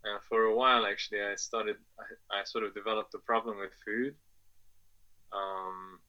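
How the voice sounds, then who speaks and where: A man's voice: on the low side, little pitch movement, moderate pace, dull audio. A man in his twenties from Afghanistan who grew up in England, answering as a podcast guest with many fillers and restarts. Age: 20s. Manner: hesitant, many fillers and restarts, laughs often. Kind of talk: casual interview answers.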